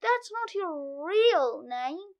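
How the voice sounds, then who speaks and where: A reader as a high, prim little boy frog. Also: sing-song, very slow, muffled recording, full character voice. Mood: scolding. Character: prim, tattling, rule-minded young boy frog.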